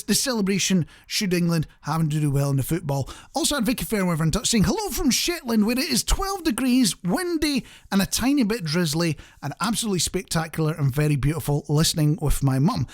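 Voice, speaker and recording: A radio presenter with a mid-pitched voice and very animated intonation. The delivery is casual and conversational, addressed straight to the listeners. It wanders into wry, jokey asides. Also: creaky. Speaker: radio show host.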